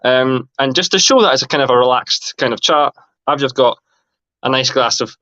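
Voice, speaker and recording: A man from northern Scotland with a light Scottish accent, hosting a casual livestream chat and talking haltingly with many fillers and restarts. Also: mid-range voice, sharp tone, no echo. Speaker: host. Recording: dull, muffled livestream audio.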